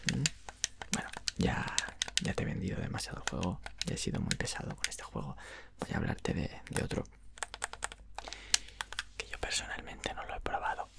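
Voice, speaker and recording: A man's voice: medium pitch, no room sound, full character voice. Whispering Spanish man playing a game shop clerk. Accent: Castilian Spanish. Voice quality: breathy, mostly whispered. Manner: informal, explanatory, frequent check-in tags. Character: friendly, chatty young male video game shop clerk. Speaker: ASMR roleplayer. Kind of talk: ASMR roleplay, casual improvised whispering. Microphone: close microphone.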